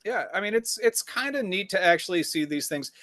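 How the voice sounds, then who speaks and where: A man's voice: high-pitched, expressive, a little fast, a bit bright, no echo, clean studio sound. A man co-hosting a podcast in casual conversation, blunt and profane, telling stories with a rambling flow. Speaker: podcast co-host.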